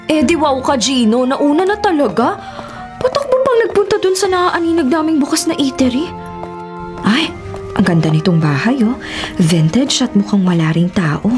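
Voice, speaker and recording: An actress playing a sassy, easily spooked young woman travel vlogger with a Manila Filipino accent in an expressive radio drama style. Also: high voice, normal speed. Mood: sassy, chatty. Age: young adult. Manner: expressive, sarcastic, mixes in English slang. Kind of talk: Tagalog radio drama acting with inner narration. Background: music.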